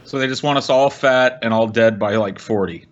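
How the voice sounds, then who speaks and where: A man's voice: medium pitch, moderate pace, muffled recording. A man ranting casually as a podcast co-host, blunt, exasperated and full of swearing.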